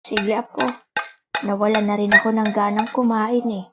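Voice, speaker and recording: A woman's voice: medium pitch, little pitch movement, normal speed, heavy background noise, close to an everyday voice. A woman voicing a kind, loving old grandmother in a radio drama, sighing and worried. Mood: worried, weary. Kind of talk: dramatic radio play acting. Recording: music behind the voice.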